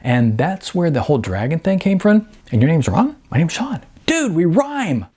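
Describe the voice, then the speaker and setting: A man voicing a cocky, rambling young wannabe fighter in an audiobook, slangy, boastful and excitable. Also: on the high side, normal speed, slightly rough, voice pushed up. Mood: goofy enthusiasm. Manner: slangy, boastful, excitable, hesitant filler words.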